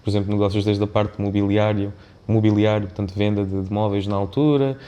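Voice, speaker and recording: A man's voice: lowish voice, level tone, brisk, dark tone, smooth. A man with a European Portuguese accent speaking reflectively and discursively as an interview guest, often checking in with a tag question. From born in Mozambique, of Indian family background. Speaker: podcast guest, business founder and CEO.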